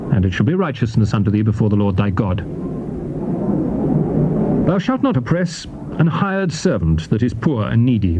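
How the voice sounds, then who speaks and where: Man solemnly reading scripture, noisy dull tape. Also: mid-range voice. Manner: solemn, declamatory, measured phrasing. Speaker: scripture reader. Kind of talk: dramatized King James Bible reading. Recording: dull, noisy old cassette tape transfer.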